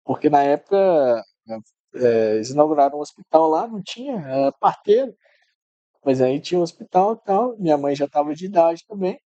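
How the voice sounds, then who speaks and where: A middle-aged man tells family stories in a relaxed, rambling way. His voice is mellow and a little high, and he often repeats words as he restarts. The sound is dry and close. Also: normal speed, no room sound, lo-fi sound. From Minas Gerais, Brazil. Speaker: podcast guest. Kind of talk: casual interview chat.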